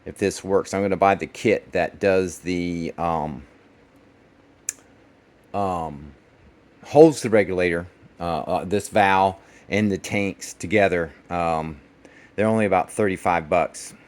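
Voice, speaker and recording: A man's voice: low voice, slow pace. An older man in casual chat, folksy and rambling with lots of fillers, his voice a little congested from a cold. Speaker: vlog host. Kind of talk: casual chat and how-to demonstration.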